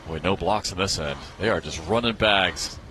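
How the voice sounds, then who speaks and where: A man giving live televised play-by-play commentary on a championship match, in an excitable manner that builds with the action. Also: low-pitched, normal speed, noisy background.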